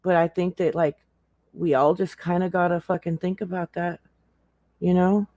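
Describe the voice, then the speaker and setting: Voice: medium pitch, flat delivery, moderate pace, a little mellow, no echo. A livestream co-commentator chatting casually in a blunt, profane way. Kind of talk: casual chat.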